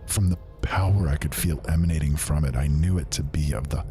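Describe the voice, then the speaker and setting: A man narrating a horror story with slow, dramatic intensity. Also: rumbling low, over music.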